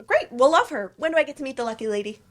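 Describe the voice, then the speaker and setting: A woman acting an eager, supportive best friend in a staged play reading, chatty and warm. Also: sits high, big pitch swings, racing. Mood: happy, supportive.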